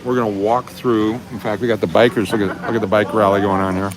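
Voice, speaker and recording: A man's voice: steady tone, fast talker, low-quality recording. A man in his fifties chatting casually and rambling a little as he hosts a live stream, recorded on a phone indoors. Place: busy restaurant, indoors. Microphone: phone held at arm's length. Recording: restaurant chatter and rumble behind voice.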